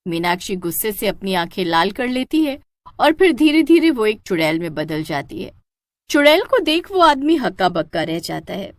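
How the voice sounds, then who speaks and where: A woman's voice: mid-range voice, very animated, moderate pace, no room sound. A woman narrating a scripted cartoon horror story.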